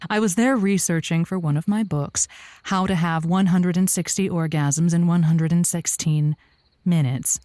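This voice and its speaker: An actress playing a flamboyant, flirtatious advice host who spins tall romantic tales in a theatrical, suggestive way. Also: female voice, lowish voice, steady tone, normal speed, dark tone, no room sound, clean studio sound, natural voice. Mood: grandly romantic. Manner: theatrical, suggestive, self-dramatizing. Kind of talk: comedic audio drama storytelling.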